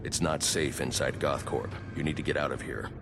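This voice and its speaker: A man's voice: extremely low pitch, fairly even intonation, moderate pace, music in the background. A man playing a grim masked vigilante detective in a video game, terse, stern and brooding. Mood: stern, commanding.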